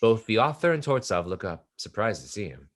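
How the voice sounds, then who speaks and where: Very low-pitched male play narrator. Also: sing-song, fairly quick. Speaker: narrator reading stage directions. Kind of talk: scripted narration of a stage play.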